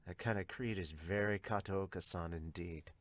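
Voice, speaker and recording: Brooding manga observer, acted out by a man, low pitch, quiet and reflective delivery. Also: dry recording, close to an everyday voice. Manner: hushed, measured, reflective.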